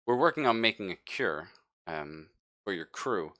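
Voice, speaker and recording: Casting: man playing flustered sci-fi crewman, stammering, bright-toned and jittery, tabletop game. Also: deep voice, animated, dry recording, clean studio sound. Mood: nervous, flustered. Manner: stammering, halting, self-correcting. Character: nervous, flustered man in a spaceship med bay.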